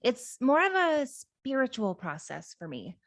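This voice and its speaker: A woman's voice: a little high, huge pitch swings, a little slow, no room sound, exaggerated voice. A woman acts out a hopeful young amateur witch in a drama table read. Her delivery is earnest, candid and conversational, and the sound is dry, with little room around it. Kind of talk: informal script table read.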